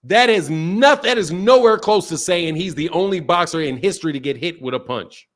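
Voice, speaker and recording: A man hosting a casual live-stream boxing talk show, reading out chat comments and reacting in an animated, repetitive way with plenty of swearing and slang. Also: high voice, moderate pace. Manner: animated, swears freely, repeats himself.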